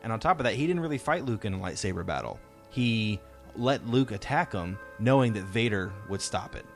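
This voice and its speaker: Male podcast host, lowish voice, informal and enthusiastic fan chat with faint music underneath. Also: lively intonation, fairly quick. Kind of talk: casual fan discussion. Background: music playing faintly.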